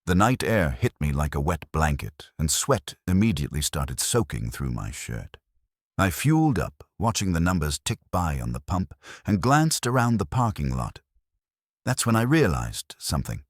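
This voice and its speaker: A man with an extremely low voice narrates horror in the first person. His delivery is grave and suspenseful, yet the intonation moves a good deal. The sound is dry and close, with a slightly rough, unpolished edge. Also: very animated, normal speed, no echo. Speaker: narrator of horror stories, posing as a trucker.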